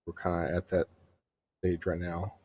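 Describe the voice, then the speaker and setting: A man streams a game with casual commentary in a deep, mellow, husky voice. He explains things haltingly and without hurry. The sound is dull and close. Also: completely flat delivery.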